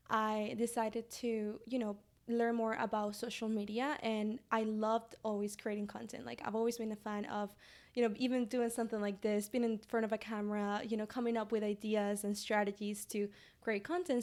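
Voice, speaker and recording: A woman's voice: medium pitch, a little mellow. A woman in her twenties, originally from Venezuela, telling her story as a podcast guest in an earnest way, with frequent fillers. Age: 20s. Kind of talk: casual interview.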